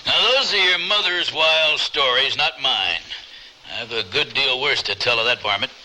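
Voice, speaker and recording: Blunt frontier rancher, bright forceful voice. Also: male voice, medium pitch, normal speed. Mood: gruffly hospitable. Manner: blunt, folksy frontier speech. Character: blunt, folksy frontier rancher, husband and father. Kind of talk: old-time radio drama acting. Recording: slightly noisy old radio recording.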